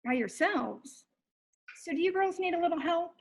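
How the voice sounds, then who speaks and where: Woman playing a chirpy, high-pitched sitcom mom. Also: animated, normal speed. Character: chirpy, nosy middle-aged sitcom mother. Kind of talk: comedic sitcom table read. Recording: noisy video call audio.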